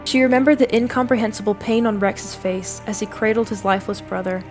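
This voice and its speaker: Solemn woman narrator over faint music. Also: mid-range voice. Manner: measured, solemn storytelling. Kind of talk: scripted dramatic narration of a fan audio drama.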